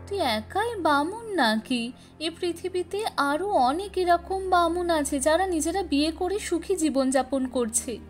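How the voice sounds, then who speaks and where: A woman's voice: very high voice, animated, unhurried, soft background music. A woman voicing a caring, insistent mother reassuring and coaxing her grown son in a cartoon story.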